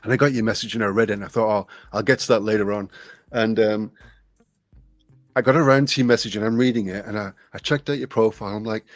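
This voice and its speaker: A man, hosting a casual podcast interview in a relaxed, friendly way, repeating phrases and filling pauses. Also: lowish voice, dry recording, soft background music. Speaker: podcast host.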